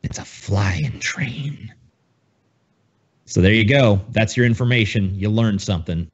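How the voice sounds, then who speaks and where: A man's voice: lowish voice, a little slow, dull audio. A man co-hosting a podcast in casual, profane banter with a sarcastic, mock-grandiose manner. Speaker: podcast co-host.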